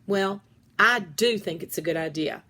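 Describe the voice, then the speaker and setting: Lady reading as a calm firm school principal lady, decisive and encouraging, kids storybook. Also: mid-range voice, unhurried. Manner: assured, decisive.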